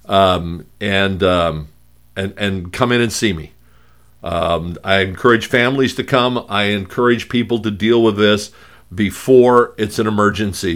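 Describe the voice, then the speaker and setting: A man with a gravelly voice, hosting a talk show and speaking in a halting way with many fillers and restarts. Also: fairly low pitch, a bit bright. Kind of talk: conversational radio talk.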